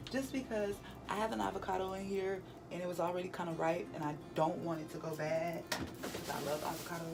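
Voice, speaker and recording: A woman in her late twenties with a raspy voice, chatting candidly to her viewers in a casual morning vlog. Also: lowish voice. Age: late 20s. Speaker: vlogger. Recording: music playing behind.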